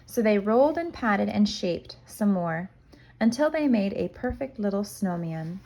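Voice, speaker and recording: A woman narrates a picture book for small children. Her delivery is unhurried and expressive, with a mid-range voice and simple, clear phrasing. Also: medium pitch. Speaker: kindergarten teacher reading aloud.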